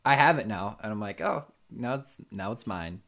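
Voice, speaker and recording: A man's voice: on the low side, animated, moderate pace, vocal fry, a bit bright. Rambling man chatting on a voice call. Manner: rambling, lots of restarts and fillers. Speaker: podcast host. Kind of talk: casual chat on a voice call.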